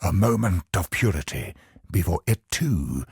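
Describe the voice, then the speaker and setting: Husky, slow, doom-laden cosmic sorcerer. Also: male voice, sits low, expressive, darkish tone, dry recording, clean studio sound, exaggerated voice. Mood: brooding, ominous. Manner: solemn, portentous, dramatic slow delivery. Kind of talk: theatrical video game villain voice lines.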